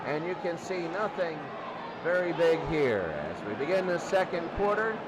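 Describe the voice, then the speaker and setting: High-pitched male football commentator, noisy background. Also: moderate pace. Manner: excitable on big plays. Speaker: play-by-play sports commentator. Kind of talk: American football game commentary. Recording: clear background noise.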